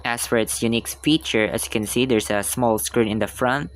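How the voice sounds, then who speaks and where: A man's voice: mid-range voice, fairly quick. A man doing casual vlog narration as a gaming content creator. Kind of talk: casual vlog voice-over, reading game choices.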